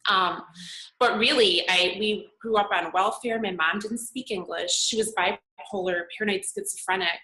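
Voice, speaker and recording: A woman in her forties whose first language was Serbian tells her story in an earnest, reflective way, pausing on plenty of fillers. Her voice is bright and forward, and it rings in an echoey room over a remote call. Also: mid-range voice. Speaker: podcast guest, makeup artist and model. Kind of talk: conversational interview answers.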